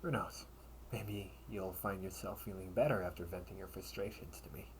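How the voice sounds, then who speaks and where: A man playing a loyal young knight in an audio roleplay, a caring, gently teasing friend who speaks in halting, formal phrases. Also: deep voice, a little hiss or hum, natural voice, rough recording. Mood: gentle concern. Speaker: amateur audio roleplay performer. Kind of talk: intimate audio roleplay acting.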